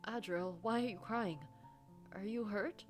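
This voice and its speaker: Girl doing a soft-hearted worried guy in a story game, apologetic and stammery, music behind. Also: medium pitch, a little slow, lightly acted. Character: gentle, worried, apologetic divorced man, a potter.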